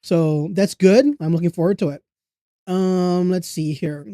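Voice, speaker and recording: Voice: mid-range voice, steady tone, a little slow, warm tone, dry recording, studio quality. A streamer greeting their viewers with upbeat, chatty small talk and frequent giggles, sounding stuffy from a cold. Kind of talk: casual livestream chat. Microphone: desktop streaming microphone.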